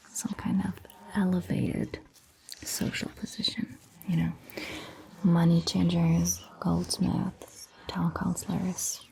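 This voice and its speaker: A woman playing a calm, caring vampire doctor in a whispered ASMR roleplay, speaking softly and breathily with gentle, measured pauses. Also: fairly low pitch, creaky, a little hiss or hum, full character voice, poor audio. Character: calm, caring female vampire doctor telling old lore. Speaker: ASMR performer.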